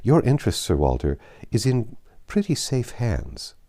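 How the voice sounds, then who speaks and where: Man as an ingratiating, deferential estate agent, lively intonation. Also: mid-range voice, unhurried, creaky, voice pushed up, exaggerated voice. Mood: reassuring, deferential. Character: obliging, flattering male estate agent, deferential. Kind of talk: audiobook character reading.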